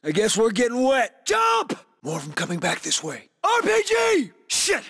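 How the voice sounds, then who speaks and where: A man's voice: very high-pitched, wildly swooping pitch, moderate pace, vocal fry, a bit bright, raised voice, full character voice. A man playing a hard-bitten military team leader in a video game, giving terse, commanding tactical orders and radio calls. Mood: urgent, shouting. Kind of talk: video game combat voice lines.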